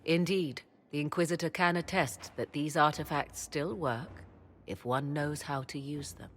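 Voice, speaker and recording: Haughty, knowing game witch, deep female register, sardonic, archaic and theatrical delivery. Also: fairly even intonation, a little slow. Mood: knowing, ominous. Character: haughty, sardonic adult witch with arcane knowledge. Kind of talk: video game cutscene acting.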